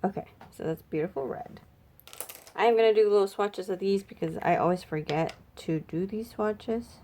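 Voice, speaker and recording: A woman makeup vlogger chatting casually and thinking aloud, her voice a little raspy and stuffy from a cold. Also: mid-range voice, moderate pace, warm tone, a little hiss or hum. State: slightly raspy, congested from a cold. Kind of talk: casual makeup swatch review chat.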